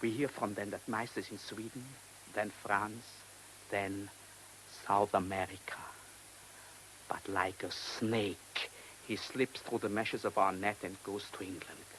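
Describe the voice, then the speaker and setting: An actor in a radio drama playing a cunning, self-assured German man who speaks with deliberate, mocking polish in a German accent. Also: on the low side, steady tone, fairly bright tone, rough recording. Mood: grave, measured. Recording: hissy old radio play recording.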